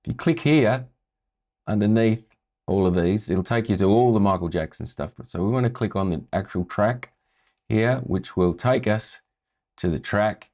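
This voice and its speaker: A man with a low voice talking casually as a music tutor, walking through a screen-share tutorial and often checking in with "right?". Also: normal speed, a little mellow.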